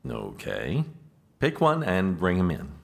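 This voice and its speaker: An actor playing a long-suffering, exasperated political aide with a dry, weary manner in a comedic audio drama. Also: male voice, low-pitched, wildly swooping pitch, slow pace, gravelly, fairly bright tone, heavily acted.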